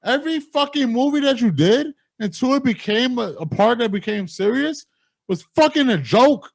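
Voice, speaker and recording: A man cohosting a casual movie review podcast, arguing his points loudly with lots of swearing. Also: very high voice, lively intonation, normal speed, vocal fry, no room sound. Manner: loud, profane, argumentative.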